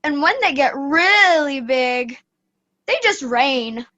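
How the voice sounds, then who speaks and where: An odd little storybook boy acted out by a woman in a children's radio play, matter-of-fact about his strange world. The voice is high and swoops around, taking its time. The sound is slightly muffled. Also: animated, takes it slowly, bright, forceful tone, a little hiss or hum, full character voice. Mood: unbothered. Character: odd, unbothered storybook boy who eats dirt. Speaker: student radio play actor.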